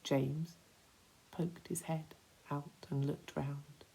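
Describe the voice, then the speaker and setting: A woman reading a children's story aloud with expressive, dramatic emphasis. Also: sits low, extremely slow, gravelly, a little hiss or hum.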